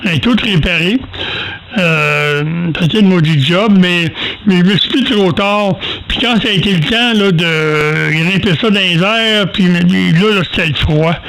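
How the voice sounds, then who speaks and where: An older man with a Quebec French accent, chatting casually over amateur radio in a halting, folksy, self-deprecating way, telling rambling anecdotes. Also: high-pitched, fairly bright tone, rough recording.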